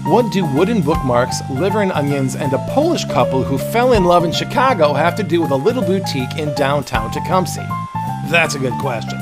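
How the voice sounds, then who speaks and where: A man's voice: fairly high pitch, lively intonation, brisk, over loud music. A man hosting a podcast, reading scripted narration with dry, playful humor. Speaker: podcast host.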